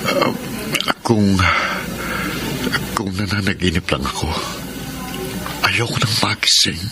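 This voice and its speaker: A contrite husband, devoted and deeply in love, in a radio drama. His low voice comes in earnest, halting phrases. Loud music runs underneath. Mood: overcome, loving. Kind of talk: Filipino radio drama acting.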